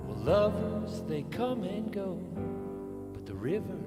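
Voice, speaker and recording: A man singing a slow song at a church service, stretching out each phrase. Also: high voice, very animated, music in the background. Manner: drawn-out sung phrases. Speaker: church singer. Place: church hall. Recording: echoey hall with loud instrumental backing.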